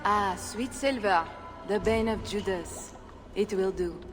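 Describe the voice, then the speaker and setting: A woman's voice: medium pitch, slow, over music, lightly acted. A woman playing a sly, silver-loving smuggler in a video game, with a teasing, wry, theatrical manner. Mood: sly, conspiratorial. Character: sly, silver-loving female smuggler posing as humble bard. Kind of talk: video game cutscene acting.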